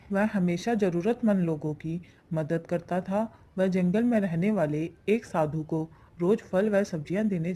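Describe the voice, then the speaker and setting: A woman's voice: on the low side, mellow. A woman reading scripted narration as the storyteller of a cartoon fable.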